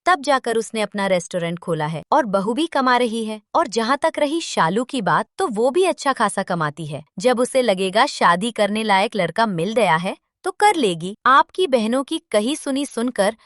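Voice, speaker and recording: Woman doing bossy indian mom in a family story, kinda high voice, scolds and snaps back, dry sound. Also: expressive, a bit bright, no echo. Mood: indignant, scolding. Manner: scolding, indignant, quick to retort. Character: feisty middle-aged Indian mother, proud, argumentative homemaker. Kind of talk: Hindi family-drama story dub.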